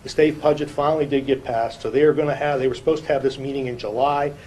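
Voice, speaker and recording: A man with a medium-pitched voice explains things as a police chief at an indoor public meeting. He is detailed and long-winded, often halting mid-sentence. Noise is clearly audible behind him. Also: fairly even intonation, darkish tone, low-quality recording. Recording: clear background noise, room ambience.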